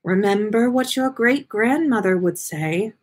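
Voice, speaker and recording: A woman voicing a gentle, proud lady ghost with a soothing, tender manner in a story reading. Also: mid-range voice, expressive, a little slow.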